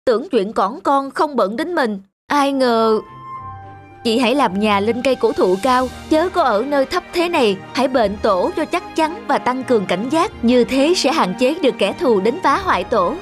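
A woman's voice: sits high, lively intonation, normal speed, soft background music. A woman voicing an earnest, emphatic female bird in a cartoon fable. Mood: earnest, regretful. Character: scolding female bird neighbour, earnest and vengeful.

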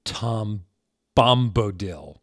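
A man in his thirties reads a story aloud in a fairly low voice. His pace is very slow and deliberate, and his delivery is theatrical. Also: lively intonation. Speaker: reader, show host. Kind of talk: dramatic fan fiction reading. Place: small furnished room indoors. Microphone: desk microphone, close.

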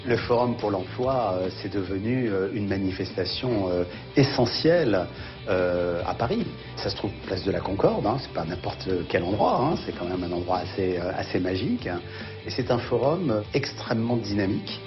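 A man giving unscripted news interview answers, hesitant, often pausing mid-phrase. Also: fairly low pitch, expressive. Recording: dull sound with music behind. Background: prominent background music.

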